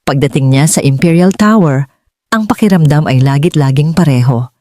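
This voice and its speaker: A woman's voice: sits low, normal speed, mellow, no echo, clean studio sound. A woman reading a scripted dramatic story as an audiobook narrator.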